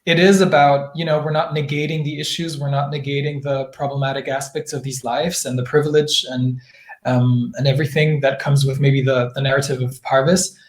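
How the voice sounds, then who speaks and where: A man's voice: medium pitch, flat delivery, a little fast, roomy sound. A man answering interview questions as an actor, speaking in an earnest, thoughtful, conversational way. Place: indoor room, virtual Q&A.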